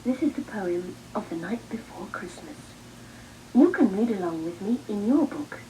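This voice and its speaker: Mellow woman storyteller, often hushed. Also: mid-range voice, animated, moderate pace, slight background noise, low-quality recording. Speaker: storybook narrator. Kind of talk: read-along children's poem narration.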